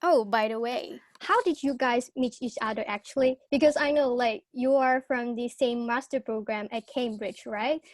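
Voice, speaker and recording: A woman's voice: a little high, normal speed, hardly any pauses, dry recording. A young woman co-hosting a podcast interview, asking friendly, casual questions through wired earphones on a video call. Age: young adult. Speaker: podcast co-host. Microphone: wired earphone mic on video call.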